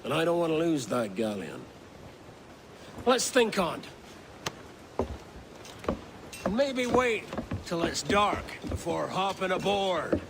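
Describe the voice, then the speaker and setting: A cautious pirate seaman, gravelly and slow. He speaks in an understated, deliberate way for a game scene, with clear ambient noise around him. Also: a little high, big pitch swings, heavily acted. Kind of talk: dramatic video game acting.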